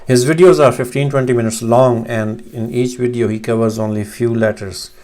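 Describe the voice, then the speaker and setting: A man's voice: medium pitch, steady tone, normal speed, a little mellow. A man in his thirties from Pakistan, a native Urdu speaker, teaching Arabic letters over a screen share with many fillers and restarts. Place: small room at home, indoors.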